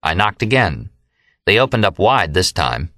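Low-voiced American man narrating a novel. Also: sing-song, moderate pace, pushed and bright, dry recording, clean studio sound. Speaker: audiobook narrator. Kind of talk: scripted first-person novel narration.

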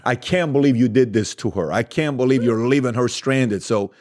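Man, 40s, from Iran, Assyrian native; mid-pitched, animated guest storyteller on a studio mic. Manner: animated storyteller, quick asides and self-deprecating jokes. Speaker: podcast guest. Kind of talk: casual interview storytelling. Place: podcast studio with bookshelves. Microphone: studio mic with headphones.

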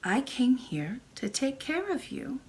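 Woman acting a very old royal grandmother, kindly, calm, unhurried assurance, mysterious, storybook character. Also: sits high, pitched above the performer's own voice, exaggerated voice. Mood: patient. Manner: measured, gently assured.

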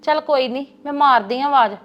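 A woman's voice: high voice, brisk, muffled recording, soft background music, natural voice. An actress playing a patient aunt who coaxes her niece in a motherly way. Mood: coaxing. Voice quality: coaxing, motherly. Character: patient coaxing female aunt of the family. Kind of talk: family drama acting.

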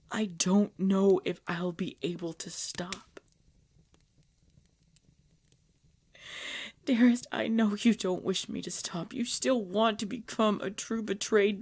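Woman acting a halting, creaky-voiced, tormented vampire woman. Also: medium pitch. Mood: pleading, firm. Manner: breathy, halting, often dropping to a whisper. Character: tormented, devoted pregnant vampire woman, self-denying. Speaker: voice actor in audio roleplay. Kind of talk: intimate ASMR roleplay acting.

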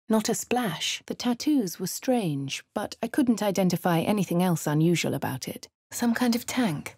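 An actress with a posh English accent voicing a composed female adventurer, reading game narration and voice lines in a crisp, dry manner. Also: lowish voice, darkish tone, no echo. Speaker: voice of the player character.

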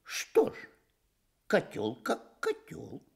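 Pompous stork, slow and swooping. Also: male voice, fairly high pitch, wildly swooping pitch, vocal fry, slightly rough, exaggerated voice. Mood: pompous, disapproving. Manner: drawn-out, pompous. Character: fussy, self-important male stork, grumbling critic. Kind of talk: Soviet radio fairy-tale acting.

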